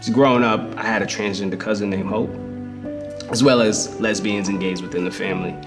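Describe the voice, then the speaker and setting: A man's voice: fairly low pitch, steady tone, natural voice. Actor as reflective man telling stories, music behind. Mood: tender, reflective. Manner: conversational, emphatic storytelling with pauses and repeated words. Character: reflective queer man fondly recalling his hustling grandmother. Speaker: actor in a dramatic reading of a memoir. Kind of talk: theatrical first-person memoir monologue.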